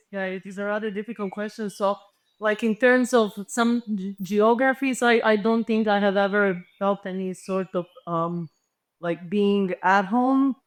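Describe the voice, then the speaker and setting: Panelist: woman, native Serbo-Croatian speaker, mid-pitched, hesitant and reflective. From former Yugoslavia. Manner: hesitant, thinking aloud with many fillers. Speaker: panelist and literature researcher. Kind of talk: reflective panel discussion answer.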